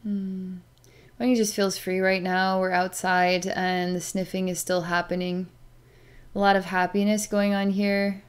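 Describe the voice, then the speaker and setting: Middle-aged female animal communicator, medium pitch, meditative and halting, narrating a session as it unfolds. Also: flat delivery, smooth. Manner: halting, meditative, with long pauses and sighs. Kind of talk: slow narration of a psychic session with pets, thinking aloud. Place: small plain room indoors.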